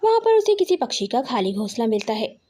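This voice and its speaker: A woman storyteller with a very high voice. She reads scripted narration for a children's animal tale. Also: sing-song, fairly quick, mellow.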